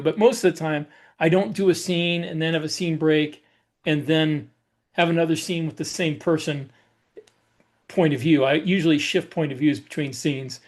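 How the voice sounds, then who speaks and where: A man speaking as a podcast co-host and writer, casually explaining his own working habits. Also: a little high. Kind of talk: casual chat about craft.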